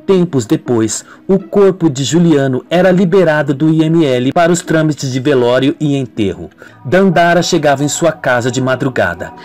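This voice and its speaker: A man's voice: fairly high pitch, expressive, normal speed, darkish tone. A man narrating a scripted radio soap opera as a dramatic storyteller. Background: music.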